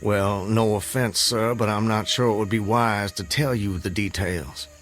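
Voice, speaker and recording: A man's voice: on the low side, fairly even intonation, a little slow, a bit bright, faint music behind. An actor playing a bewildered, wary, courteous man on the run in a radio drama, speaking hesitantly and formally. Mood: wary, polite.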